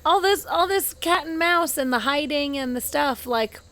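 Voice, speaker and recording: Higher-voiced woman gamer chatting. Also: moderate pace, fairly bright tone. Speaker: tabletop game player. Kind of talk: casual, joking table chat.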